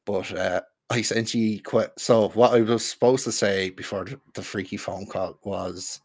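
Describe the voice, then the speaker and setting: Irish male podcast host, lower voice, blunt sweary storyteller, close dry sound. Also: fairly even intonation, measured pace, no echo. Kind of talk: casual chat with friends.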